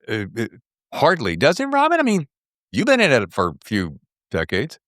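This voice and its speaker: A man in his sixties hosting a podcast, chatting casually with effusive, excitable fan enthusiasm. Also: mid-range voice, normal speed, dry recording, studio quality. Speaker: podcast host. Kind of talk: casual interview chat.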